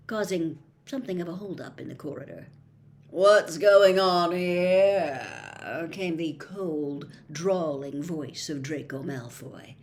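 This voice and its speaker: A woman reads a children's novel aloud as narrator. Her voice sits slightly low and expressive, sinking to a near whisper in hushed moments. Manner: expressive reading, dropping to a hush for quiet moments. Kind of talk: storybook read-aloud.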